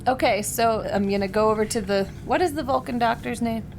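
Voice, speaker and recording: Female gamer, fairly high voice, conversational, clearly noisy background. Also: fairly quick. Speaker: tabletop game player. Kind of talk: casual table chat.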